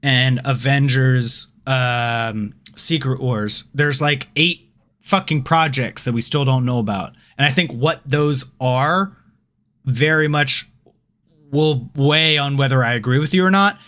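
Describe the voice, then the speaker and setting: A man co-hosting a casual podcast chat, opinionated and animated, swearing freely and tripping over his restarts. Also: medium pitch, slow pace. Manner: animated, opinionated, swears freely, repeats words when starting. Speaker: podcast co-host.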